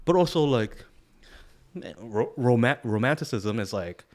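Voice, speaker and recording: A man's voice: medium pitch, animated, a little slow, darkish tone. A man co-hosting a podcast in casual chat, laid-back and slangy, often tagging lines with "you know what I mean". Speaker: podcast co-host.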